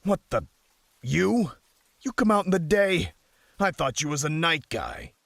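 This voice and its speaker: A man playing a gruff, jaded police detective in an audio drama, husky, with a folksy, grumbling, dismissive manner. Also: on the high side, very animated, a little slow, fairly bright tone, no echo, studio quality, exaggerated voice. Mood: surprised, mocking.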